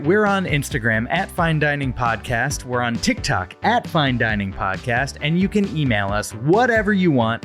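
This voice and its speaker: A man's voice: mid-range voice, a bit bright. A man hosting a comedic podcast, telling stories in a conversational, joking way. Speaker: podcast host. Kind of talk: casual comedic podcast chat.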